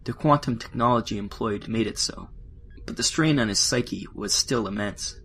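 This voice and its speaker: A man narrates a science-fiction audio drama in a fairly low voice. He reads scripted prose, with faint noise and ambience behind him. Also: moderate pace, sharp tone.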